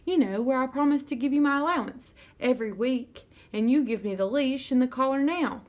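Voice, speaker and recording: A woman's voice: high voice, brisk, muffled recording, pitched above the performer's own voice, close to an everyday voice. Woman as an earnest, eager young girl. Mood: earnest pleading. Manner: polite, persuasive, folksy.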